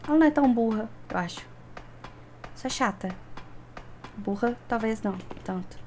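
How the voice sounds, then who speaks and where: A young adult woman with a Brazilian Portuguese accent giving casual gameplay commentary, often repeating words in excited bursts. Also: fairly high pitch, moderate pace, a little hiss or hum. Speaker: gaming streamer. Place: indoor room at home. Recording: game music behind the voice. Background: video game music.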